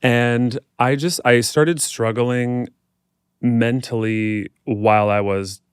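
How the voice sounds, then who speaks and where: A man's voice: medium pitch, steady tone, slow, smooth, dry recording, studio quality. An American man talking candidly and reflectively as an interview guest, casual and sprinkled with filler phrases. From United States, Kansas City area. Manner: casual, uses like and you know often. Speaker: podcast guest, performer and fitness instructor.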